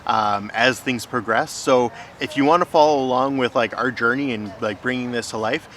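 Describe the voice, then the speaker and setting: A man with a bright, forward voice at a middle pitch talks casually as a vlog host. He explains in a conversational way, with faint city noise behind him. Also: moderate pace. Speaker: host, filmmaker.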